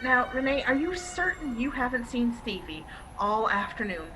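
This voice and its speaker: A woman's voice: on the high side, very animated, moderate pace, over music. A woman playing an anxious, grief-stricken, bitter wife in a radio play. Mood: worried. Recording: noisy live-streamed audio.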